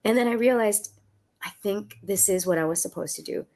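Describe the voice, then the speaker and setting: A woman's voice: mid-range voice, a little fast. A woman author talking about her memoir, a little congested from a cold, telling stories with frequent laughs. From Southern California. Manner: laughs often, warm and storytelling. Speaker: author guest. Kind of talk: conversational book talk.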